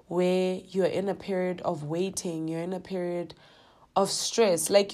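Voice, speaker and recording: A woman with a South African accent hosting her own podcast, slightly hoarse from a coming cold, talking in an earnest, heartfelt way with lots of "you know"s. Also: on the low side, darkish tone. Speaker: podcast host. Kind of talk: reflective personal podcast talk.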